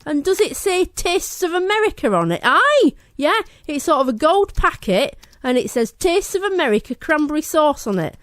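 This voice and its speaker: A man voicing a young girl from West Yorkshire reading out her diary in a deadpan way. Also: extremely high pitch, normal speed, few pauses, dry recording, clean studio sound, voice pushed up, heavily acted.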